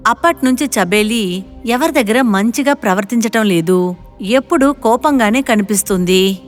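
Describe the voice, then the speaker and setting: A woman's voice: a little high, moderate pace, soft background music. A woman narrator reading a scripted moral story.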